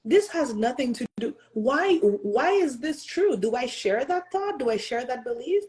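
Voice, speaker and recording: A woman's voice: high voice, sing-song, a little slow, darkish tone. A woman in her thirties hosting a motivational talk, speaking earnestly and pressing listeners with rhetorical questions, sometimes slipping into French and Haitian Creole. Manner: earnest, emphatic, asks the audience rhetorical questions. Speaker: host.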